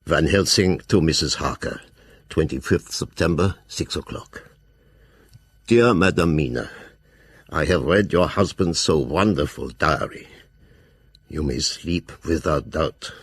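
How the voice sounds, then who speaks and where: A grave older professor in an audio drama, with an extremely low, husky voice. He speaks formally and earnestly, as if dictating a letter, in a dry close recording. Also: unhurried, no room sound, full character voice. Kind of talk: audio drama acting, letter read aloud.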